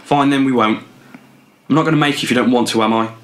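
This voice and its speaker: A man's voice: mid-range voice, steady tone, fast, fairly bright tone, lightly acted. A young man playing a controlling, defensive boyfriend in a radio drama. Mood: pressuring, sulky. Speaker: actor. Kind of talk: naturalistic student radio drama acting.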